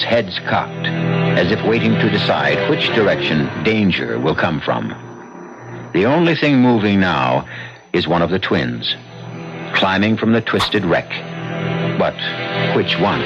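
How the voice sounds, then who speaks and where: Radio mystery narrator: low, solemn, richly varied intonation. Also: male voice, big pitch swings, a little slow, pauses often. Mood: solemn, suspenseful. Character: suspenseful, solemn storyteller of a crime drama. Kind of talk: old-time radio drama narration. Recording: dull old radio recording, loud music bed.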